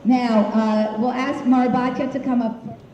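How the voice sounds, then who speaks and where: A woman with a high but mellow voice hosts a school graduation and introduces each teacher with long lists of praise. Her voice echoes heavily around a large hall with a long tail. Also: fairly even intonation, a little slow, lo-fi sound, slight background noise. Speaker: school director and ceremony host. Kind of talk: ceremony introductions.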